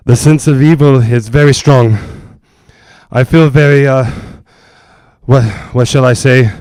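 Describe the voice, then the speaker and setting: Man acting solemn, clear-voiced ghost-hunting doctor. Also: medium pitch, steady tone, a little mellow, dry recording, natural voice. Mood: strained, grave. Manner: formal, measured, halting, grave. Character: solemn, earnest male doctor and psychic ghost hunter. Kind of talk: 1940s radio drama acting.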